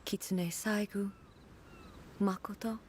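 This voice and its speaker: A woman voicing a solemn, reserved female ruler in a video game, speaking slowly and softly in a measured way. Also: medium pitch, fairly even intonation, slight background noise, natural voice. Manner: slow, measured, soft-spoken.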